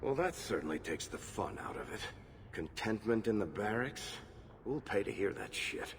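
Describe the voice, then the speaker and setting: A man's voice: lowish voice, big pitch swings, moderate pace, big-room sound, slight background noise. An actor playing a cheeky, cynical storyteller man in a video game, teasing in a wry manner.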